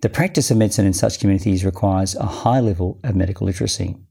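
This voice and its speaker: Man, podcast host, measured, warm dark tone. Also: deep voice, creaky, breathy edge. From Australia. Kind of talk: scripted podcast intro, then interview.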